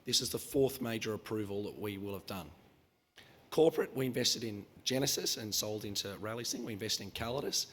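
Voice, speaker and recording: A man, losing his voice, giving a brash, confident conference presentation as a mining company chief. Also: fairly low pitch. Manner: brash, confident, quick-fire delivery. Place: conference hall.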